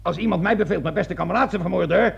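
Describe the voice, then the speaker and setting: An actor in a radio play voicing a stubborn, muddled army sergeant, blunt and colloquial, with a raspy voice. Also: male voice, sits high, quick pace, full character voice. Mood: puzzled, stubborn. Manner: blunt, colloquial, repeating himself in confusion. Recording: dull old radio recording.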